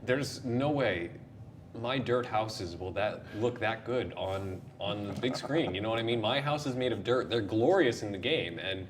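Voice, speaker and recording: A man's voice: lowish voice, expressive, moderate pace, noisy background. A man hosting a casual, opinionated panel chat, emphatic and jokey, with playful exasperation. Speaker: host of a video game and movie discussion show.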